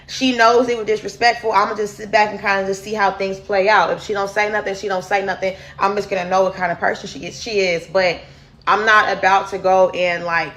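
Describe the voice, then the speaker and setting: A deep-voiced woman, candidly telling viewers a personal story in a casual storytime vlog. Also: fairly bright tone. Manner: candid, chatty, addresses viewers as y'all. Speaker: storyteller host.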